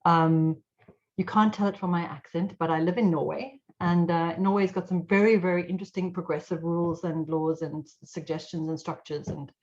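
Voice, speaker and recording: A middle-aged woman, an IT consultant and guest speaker, telling her story in an animated way over a video call. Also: on the low side, moderate pace. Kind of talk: casual webinar talk. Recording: slightly dull lo-fi audio.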